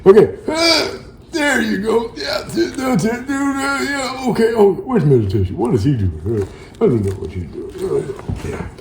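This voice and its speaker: A man's voice: high voice, big pitch swings, moderate pace, gravelly, reverberant, a little hiss or hum, full character voice. A man voicing a goofy, laid-back workout buddy in a children's skit, rambling in encouraging dude-speak. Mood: encouraging, casual. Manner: rambling, dude-speak, playful sound effects.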